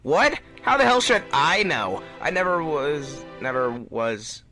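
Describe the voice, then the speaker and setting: A man's voice: fairly high pitch, very animated, takes it slowly, pushed and bright, full character voice. A man voicing a defensive young male hedgehog hero in a fan fiction audio drama, hesitant and guarded. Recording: music behind the voice.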